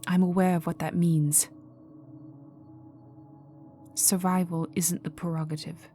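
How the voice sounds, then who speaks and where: A woman playing a cold, vengeful aristocratic vampire in a dark ASMR roleplay, speaking formally and menacingly and often sinking to a whisper. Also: lowish voice, level tone, unhurried, soft background music, close to an everyday voice. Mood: stern, guarded. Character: cold vengeful aristocratic female vampire, young heiress in mourning.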